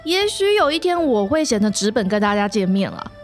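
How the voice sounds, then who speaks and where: A woman's voice: mid-range voice, sing-song, fairly quick, faint music behind. A woman with a Taiwanese Mandarin accent chats casually and playfully as a podcast host explaining her storytelling. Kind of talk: casual chat.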